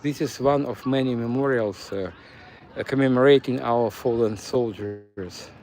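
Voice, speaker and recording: A man's voice: mid-range voice, a little slow, darkish tone. A man from eastern Ukraine with a Ukrainian accent, whose first language was Russian, giving a walking tour stream with many fillers and restarts. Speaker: city walk streamer. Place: city streets outdoors. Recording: faint street noise outdoors. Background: faint street and traffic noise.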